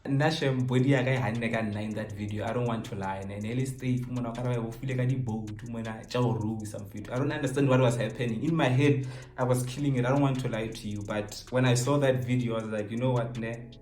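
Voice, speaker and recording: A man's voice: medium pitch, fairly even intonation, fast talker, darkish tone. A South African man in his twenties chatting casually and jokingly to camera, switching between languages. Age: 20s. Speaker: YouTuber answering viewer questions. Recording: roomy echo, faint music behind.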